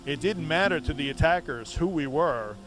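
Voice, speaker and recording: A man in his fifties, calmly and reflectively talking in an interview and asking questions. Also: fairly high pitch, normal speed. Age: 50s. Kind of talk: recorded interview.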